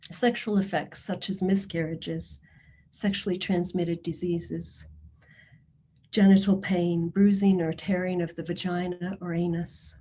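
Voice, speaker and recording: Earnest woman presenter, mellow lowish voice. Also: a little hiss or hum. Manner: measured and earnest, reading testimonies in first person. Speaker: social services presenter. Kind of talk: prepared address to a committee.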